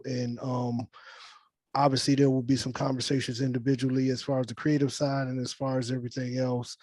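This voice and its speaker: A man's voice: medium pitch, dark tone, no room sound. A man speaking as a film director in an informal team briefing on a video call, rambling and reassuring. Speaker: film director leading a table read. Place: virtual meeting on a video call.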